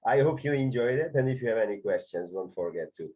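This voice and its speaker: A man's voice: fairly low pitch, expressive, fast talker. A man with a Hungarian accent explaining conducting in a video lesson, hesitant, with many filler sounds and restarts. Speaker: conducting teacher. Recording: dull lo-fi sound.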